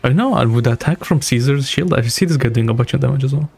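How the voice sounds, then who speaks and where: Young man streaming, husky and mellow. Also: mid-range voice, fast. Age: young adult. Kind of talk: casual live reaction commentary. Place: small home room, indoors. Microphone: close broadcast mic on boom arm. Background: music from the video being watched.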